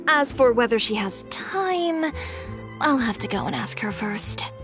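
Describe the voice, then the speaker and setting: A woman's voice: fairly high pitch, lively intonation, unhurried. A woman voicing a composed, matter-of-fact female designer and businesswoman in a video game. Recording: faint background music.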